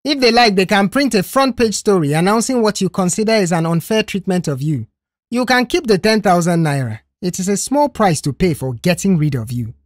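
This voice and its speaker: Stern male boss acted by a woman, fed up, authoritative scolding, heightened pitch, audio drama. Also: lively intonation, a little fast, no room sound, clean studio sound, close to an everyday voice. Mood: angry, dismissive.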